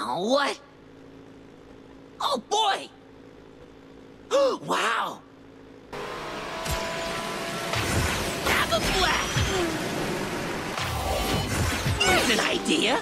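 A man voicing an excitable, childlike little dragon in a video game, playful and bubbly, with a squeaky cartoon voice. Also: a little hiss or hum, full character voice. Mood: gleeful. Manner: bubbly, exclamatory, wide-eyed. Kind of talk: animated video game character lines. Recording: music behind the voice.

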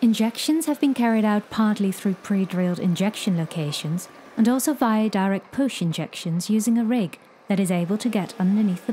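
A woman giving a measured, clear scripted narration for a corporate explainer video. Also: medium pitch, warm tone, slight background noise. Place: studio voice-over.

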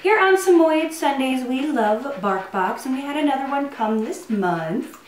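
High-pitched, lively young woman vlogger. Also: measured pace. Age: young adult. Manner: playful, cooing to pets. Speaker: vlog host. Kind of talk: casual pet unboxing vlog, talking to camera and to pets. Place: living room at home, indoors. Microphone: camera a few feet away. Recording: roomy, distant mic, faint noise.

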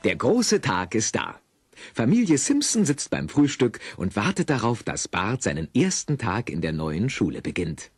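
A man narrating an audio play with expressive storytelling and a husky voice. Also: on the low side, normal speed, soft background music.

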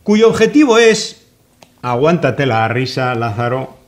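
A man's voice: mid-range voice, big pitch swings, measured pace. A middle-aged man, hosting a political commentary chat in a sarcastic, mocking manner from a small office studio. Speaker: host.

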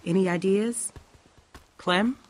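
A woman playing a short-tempered, worn-down pregnant survivor in a video game, frank and a little halting. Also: medium pitch, slight background noise, natural voice. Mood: weary, confiding.

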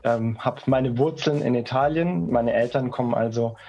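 Man, early forties, medium pitch, husky, halting conversational delivery, slightly dull call audio. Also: warm tone, faint music behind. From Stuttgart, Germany. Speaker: guest, marketing manager. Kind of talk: casual conversational talk. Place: indoors, office chair, video call. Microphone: video call. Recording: muffled video call audio.

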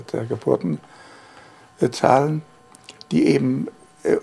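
A German man in his sixties, a journalist, giving a halting, emphatic interview answer with many fillers in a living room. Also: mid-range voice, gravelly, dark tone, husky.

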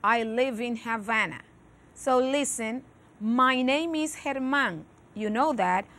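A middle-aged Cuban woman with a Cuban Spanish accent, teaching an English lesson on educational television in a studio, switching between English and Spanish and speaking slowly and carefully. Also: a little high, a bit bright. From Cuba. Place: TV studio with virtual set.

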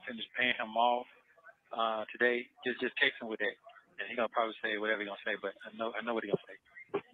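Fast-talking man on a muffled phone line. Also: on the low side, level tone. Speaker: prisoner on a phone call. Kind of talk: casual phone conversation. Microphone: telephone. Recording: muffled, tinny recorded prison phone call.

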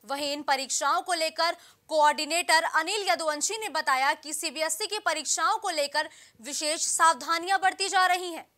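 A young woman anchors a news bulletin in a high, bright and forceful voice. Her delivery is formal and emphatic, reading from a script. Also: moderate pace. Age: young adult.

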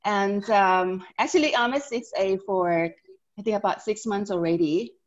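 Thai woman asking politely over a call. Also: medium pitch. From Thailand. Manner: polite, halting. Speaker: caller asking a question. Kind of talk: polite question on a video call. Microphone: earphone headset. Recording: dull lo-fi call audio.

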